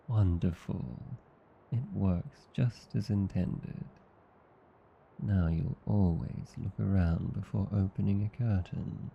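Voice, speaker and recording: A man with an Australian accent playing a tender, teasing vampire husband in an ASMR roleplay, soothing, coaxing and calmly hypnotic. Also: sits low, slow, gravelly, pauses often, dull audio, a little hiss or hum, heavily acted. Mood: calm, satisfied. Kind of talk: ASMR roleplay, slow intimate scripted acting.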